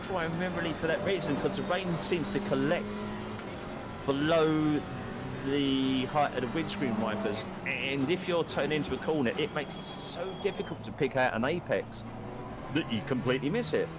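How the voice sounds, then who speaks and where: A Cockney man in his fifties commentates a sim race live, excitable and chatty, slipping in casual swears and calling people mate. His voice sits a little high for a man. Loud music plays behind him. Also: normal speed. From East London, England. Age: 50s. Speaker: race broadcast commentator and streamer.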